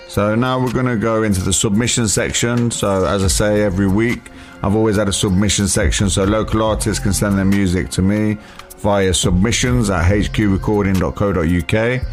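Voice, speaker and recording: A man hosting a local radio show in a laid-back, chatty way, giving slang shout-outs to artists. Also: on the low side. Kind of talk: casual radio presenting.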